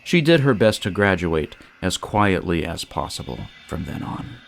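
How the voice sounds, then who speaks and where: A man narrating a scripted story in a theatrical, deliberate way with dramatic pauses. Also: sits low, sing-song, gravelly, soft background music. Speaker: storyteller and show creator.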